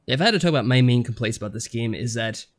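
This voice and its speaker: A man's voice: mid-range voice, lively intonation, racing. A man talking casually as a podcast co-host, rambling through anecdotes and acting out little asides. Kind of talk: casual chat reviewing games.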